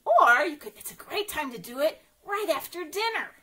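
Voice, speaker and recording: A woman's voice: sits high, big pitch swings, normal speed, sharp tone. A woman leading an interactive story time as a children's librarian, encouraging and sing-song, often putting questions straight to young listeners.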